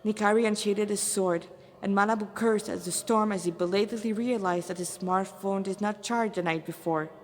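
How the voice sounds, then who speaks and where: A woman's voice: medium pitch, moderate pace, hardly any pauses. A woman reads a story aloud as its narrator. Her phrasing is dramatic, with occasional stumbles over words. The recording carries long, echoey reverb. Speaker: fan fiction author and reader.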